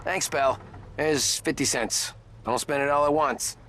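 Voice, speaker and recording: A man's voice: on the high side, unhurried, fairly bright tone, slight background noise. An actor playing a smug, impatient businessman passenger in a game cutscene, brusque and condescending. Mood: sarcastic. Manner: brusque, pompous.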